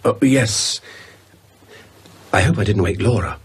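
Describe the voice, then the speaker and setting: A man's voice: fairly low pitch, lively intonation, unhurried, slight background noise. An actor playing a shaken, hesitant man with a dry understated manner in a naturalistic horror radio drama. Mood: uneasy, polite. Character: shaken, wry man recovering from a night terror.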